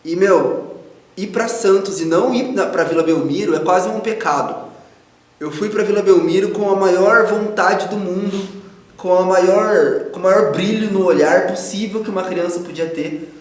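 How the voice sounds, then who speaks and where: A man's voice: high voice, moderate pace. A Brazilian man in his twenties answering interview questions casually, reflective and searching for words. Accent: Brazilian Portuguese. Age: 20s. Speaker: guest. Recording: very echoey room.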